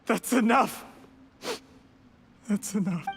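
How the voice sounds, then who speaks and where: A man's voice: high voice, slow, gravelly, heavily acted. A man voicing an ambitious, self-justifying young schemer in a video game, formal, pompous and defensive. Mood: agitated.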